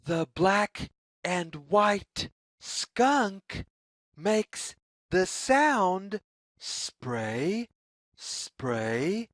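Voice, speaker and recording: Very slow, expressive woman reading to children. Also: medium pitch, no room sound, studio quality. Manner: deliberate, measured phrasing with sing-song repetition. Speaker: children's read-aloud narrator, teacher. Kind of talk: slow, expressive picture-book read-aloud for young children.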